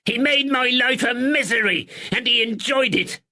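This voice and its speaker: A weaselly small-framed draper, all nerves and plaintive stammers. His voice climbs high and thin when he's cornered, as a man reading the story puts him on. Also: lively intonation, unhurried, sharp tone, full character voice. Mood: angry shrill. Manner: stammering, plaintive, rising to shrill. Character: nervous weaselly middle-aged male draper.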